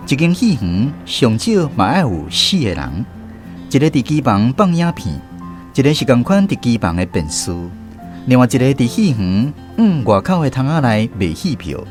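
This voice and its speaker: A man plays a reflective narrator looking back in a radio drama. His mid-pitched voice is lively in melody and has a Taiwanese accent. He explains things conversationally, with music clearly behind. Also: close to an everyday voice. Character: reflective male storyteller recalling his youth. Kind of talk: scripted first-person radio drama narration.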